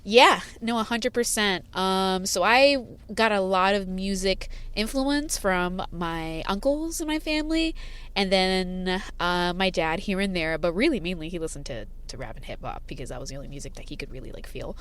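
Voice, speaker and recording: Smooth-voiced chatty woman guest. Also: mid-range voice, animated, moderate pace, a bit bright. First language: sign language. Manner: chatty, rambling, many fillers and laughs. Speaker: band singer-songwriter, guest. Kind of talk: casual interview chat. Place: parked car.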